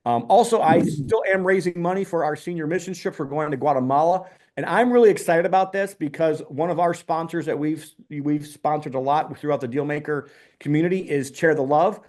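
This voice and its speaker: A man's voice: fairly high pitch, fairly quick, a little mellow. A man hosting a casual online community call, upbeat, with many ums and restarts.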